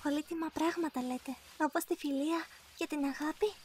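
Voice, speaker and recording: A woman playing a gentle, sheltered young princess in an anime fan dub, speaking politely, formally and earnestly. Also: high voice, steady tone, natural voice. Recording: music behind the voice.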